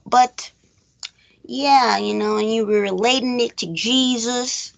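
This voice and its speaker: Female podcast co-host, unhurried and gravelly with fry, higher-pitched, casual and chatty movie-recap delivery. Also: steady tone. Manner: chatty, acts out imagined dialogue. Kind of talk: casual film discussion with rambling retellings.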